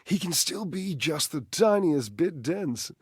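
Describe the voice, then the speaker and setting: A man playing a flamboyant, flirtatious, theatrical living appliance in a dating game, gushing with pet names and drawn-out words. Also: on the high side, expressive, slightly rough, no room sound, studio quality. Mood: affectionate. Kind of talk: dating-game character voice acting.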